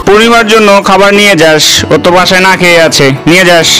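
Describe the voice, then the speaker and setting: A man's voice: high voice, fast talker, lightly acted, low-quality recording. A man with a Bangladeshi accent voicing a hot-headed male fox in a cartoon, with an emphatic, scolding delivery. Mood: brisk, bossy.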